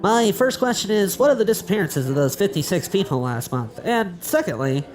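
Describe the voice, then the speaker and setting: An actress playing an earnest, persistent science reporter in an audio drama, questioning insistently. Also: female voice, mid-range voice, sing-song, a little fast, darkish tone, faint music behind, close to an everyday voice, rough recording. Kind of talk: scripted audio drama acting.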